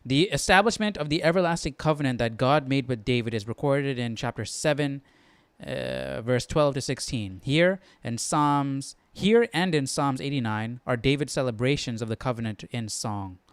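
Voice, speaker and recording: Casual man musing aloud, clear and dry. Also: medium pitch, normal speed, no echo. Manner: musing aloud, asking questions. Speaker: host of a Bible study stream. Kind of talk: casual unscripted study talk and reading aloud.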